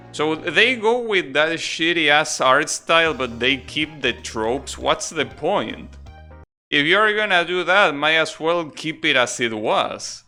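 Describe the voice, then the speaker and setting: A man's voice: fairly high pitch, bright, forceful tone. A man doing casual live game commentary, chatting with viewers in an opinionated, grumbling way with mild swearing. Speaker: game streamer. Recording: game music playing behind the voice. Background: video game music.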